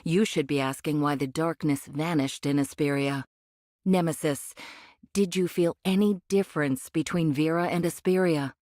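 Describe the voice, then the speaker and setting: Actress as female cyborg rebel, anime game, deep register, cool, condescending, measured, close dry sound. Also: a little mellow, dry recording, natural voice. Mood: condescending, knowing. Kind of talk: anime game voice acting, expository dialogue.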